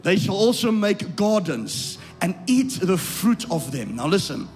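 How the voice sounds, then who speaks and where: A man preaching a live sermon to a congregation. He swings from quiet asides to loud, shouted lines, repeats his phrases, checks that the crowd follows, and is losing his voice. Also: high voice, very animated, a little slow. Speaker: pastor.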